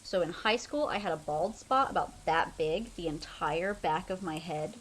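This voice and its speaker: A woman's voice: fairly low pitch, normal speed, slight background noise, rough recording. A young woman streaming a game, chatting casually in a loose, rambling way full of fillers. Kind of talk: casual chat while playing a game.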